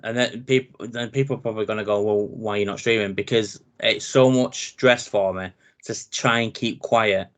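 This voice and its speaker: Deep-voiced man chatting casually. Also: moderate pace, few pauses. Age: 30s. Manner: relaxed, chatty, laughs easily. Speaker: podcast co-host. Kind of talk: casual wrestling chat.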